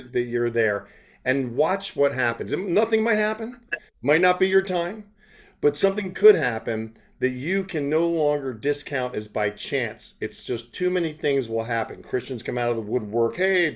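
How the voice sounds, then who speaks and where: Man rambling earnestly in casual chat. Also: medium pitch. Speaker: interviewer and online show host. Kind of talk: casual chat with personal testimony.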